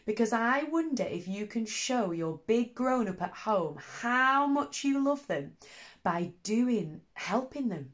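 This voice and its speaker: Woman, primary teacher, children's storytime, highly varied pitch, slow pace, child-directed questions, expressive. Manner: animated, asks tag questions, child-directed. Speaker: primary school teacher reading to young children. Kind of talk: expressive picture-book storytime with asides to children.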